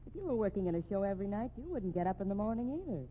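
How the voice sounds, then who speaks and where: An actress playing an earnest, flustered sleuth's companion in a 1950s radio drama, hesitant but properly spoken. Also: female voice, medium pitch, lively intonation, rapid-fire, phone-quality sound, natural voice. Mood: doubtful. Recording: band-limited old radio recording, faint noise.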